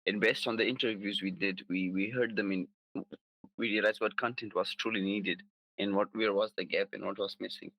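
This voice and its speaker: A man from Afghanistan whose first language is Pashto, answering interview questions in a halting way with restarts. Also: on the low side, fairly even intonation, sharp tone, poor audio. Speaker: app co-founder, guest.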